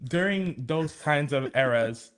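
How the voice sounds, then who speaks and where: A man's voice: a little high, animated, slow, crowd noise. A man explaining things in a conversational talk show style, emphatic and illustrating his points with acted-out examples.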